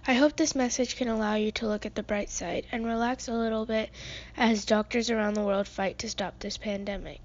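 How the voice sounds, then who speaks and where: A teenage girl delivers a prepared podcast segment in an earnest, measured way, slipping in the odd um. Her pitch stays level and narrow throughout. The recording has a dull, lo-fi sound. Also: fairly even intonation. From United States. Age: teens. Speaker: podcast co-host.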